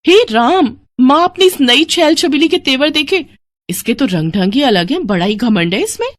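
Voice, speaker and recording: A woman's voice: high-pitched, expressive, moderate pace, dry recording, pitched above the performer's own voice. A woman voicing a catty young sister-in-law who complains dramatically in a family cartoon. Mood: scornful. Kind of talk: Hindi family cartoon dialogue.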